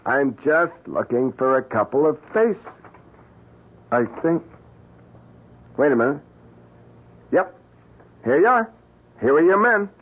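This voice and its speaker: An old radio drama with a narrow, muffled sound carries a stubborn American everyman. His male voice is husky and very lively in pitch, with a brash, argumentative delivery. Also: slow, long pauses, heavily acted. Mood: brash, insistent. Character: stubborn, quick-tongued male photographer, an everyman hero.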